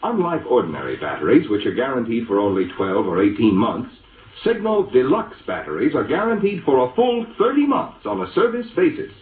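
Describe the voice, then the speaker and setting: An echoey, dull-sounding vintage radio recording carries an American man announcing a commercial in 1940s style. His pitch moves in lively swings as he delivers polished, emphatic scripted sales copy. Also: brisk. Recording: vintage radio recording, roomy echo, muffled lo-fi.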